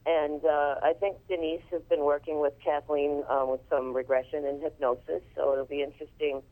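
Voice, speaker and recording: A woman's voice: low voice, little pitch movement, normal speed. A woman speaking over a telephone line as an interview guest, talking casually and informatively about what she organizes.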